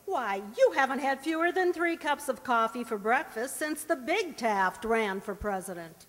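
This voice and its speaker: A patient, gently teasing wife in an old-time radio comedy. Her high voice swoops expressively as she coaxes with endearments, ringing in a reverberant hall. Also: animated, moderate pace. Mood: coaxing, wry. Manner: coaxing, endearments, gently wry. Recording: echoey hall, long reverb.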